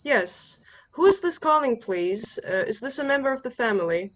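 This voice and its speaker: A woman's voice: on the high side, very animated, normal speed, muffled recording. A woman playing a polite, reserved, formal stranger who answers a household telephone in a radio play. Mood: polite, guarded.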